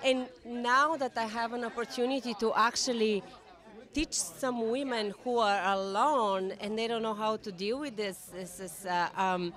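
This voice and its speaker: A woman's voice: fairly high pitch, steady tone, moderate pace, a bit bright. A woman with a Bulgarian accent giving earnest, unscripted interview answers at a red carpet event. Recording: crowd chatter behind voice.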